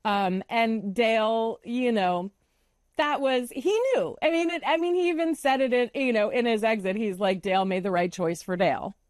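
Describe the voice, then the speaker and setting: A woman's voice: fairly high pitch, normal speed, a little mellow, dry recording, studio quality. An American woman chatting as a panelist on a casual TV recap, earnestly making her case in a conversational way.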